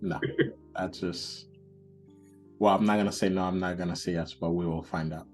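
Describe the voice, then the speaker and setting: A man's voice: deep voice, steady tone, moderate pace, soft background music, poor audio. A man hosting a podcast as a therapist, talking in an encouraging, easygoing way. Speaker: therapist host.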